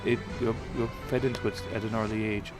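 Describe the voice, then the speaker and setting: A man's voice: fairly low pitch, flat delivery, fairly quick, over loud music. A man speaking in a documentary interview. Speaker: local sports interviewee.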